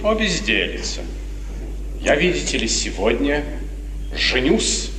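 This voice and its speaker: A hot-headed, proud count in a 1950s radio tragedy. His bright, animated voice is curt and sarcastic. It sounds in a reverberant hall on an old recording. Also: mid-range voice, takes it slowly, distant mic, full character voice. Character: proud, blunt young nobleman count, scornful and hot-tempered. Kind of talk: 1950s Russian radio theatre acting. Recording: echoey, faintly noisy 1950s radio drama recording.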